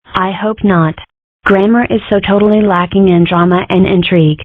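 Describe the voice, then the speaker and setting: A woman's voice: mid-range voice, fairly even intonation, normal speed, dry recording, natural voice. A woman voicing an inquisitive, dramatic young cartoon girl in a comic strip dub, with wry, theatrical musing. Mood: curious, wry. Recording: muffled phone-like audio.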